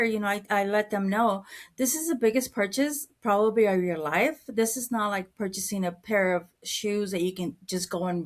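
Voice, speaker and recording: A middle-aged woman from Mexico, a real estate broker answering interview questions in an earnest, conversational way, often repeating words as she thinks. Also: medium pitch.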